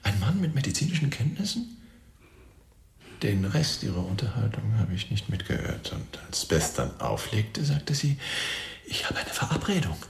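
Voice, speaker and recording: Casting: suave middle-aged doctor under questioning, low gravelly voice, pompous and precise, radio play. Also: male voice, exaggerated voice. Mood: musing, sly. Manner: formal, precise, pompous wording with deliberate pauses. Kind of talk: radio drama acting, monologue testimony. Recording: roomy echo, faint background noise.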